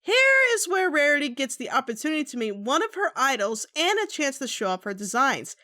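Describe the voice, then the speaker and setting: Bright, very high-pitched female reviewer. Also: very animated, a little fast. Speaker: guest co-reviewer. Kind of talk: semi-scripted review commentary.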